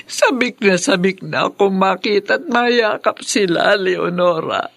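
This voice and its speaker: A woman's voice: mid-range voice, unhurried, vocal fry, fairly bright tone, no echo. An older actress with a Filipino accent playing a remorseful, ailing mother in a radio drama, heavy and tearful in delivery. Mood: sad longing. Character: sorrowful, remorseful ailing older mother longing for her children.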